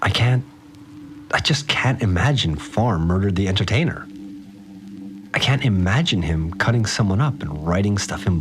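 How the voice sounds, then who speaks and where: A man's voice: sits low, noisy background. A man playing a troubled, doubting fixer, speaking hesitantly and plainly in a confessional audio drama monologue. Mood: disbelieving, shaken. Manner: hesitant, plain-spoken, uneasy. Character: troubled, doubting male fixer recounting events.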